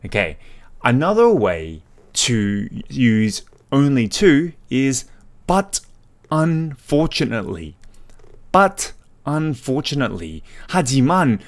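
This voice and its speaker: A man's voice: medium pitch, very animated, slow. A man who is a native English speaker, teaching an explanatory language lesson and switching between English and Korean for translations.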